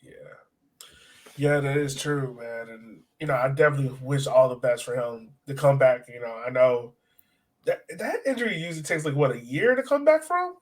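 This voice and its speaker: A man's voice: mid-range voice, expressive, moderate pace. A man hosting a sports podcast in casual chat, with a colloquial, buddy-to-buddy way of talking.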